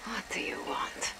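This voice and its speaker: An actress playing a defiant, weary young woman held captive in a video game, guarded in the way she talks. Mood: weary hostility. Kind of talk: dramatic video game voice acting.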